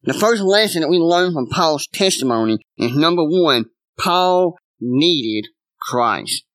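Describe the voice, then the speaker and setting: A male pastor preaching a sermon in a conversational way, full of rhetorical questions and repeated asides to his listeners. His voice sits a little high and sounds bright and forceful. The sound is close and dry. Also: animated, slow pace, dry recording.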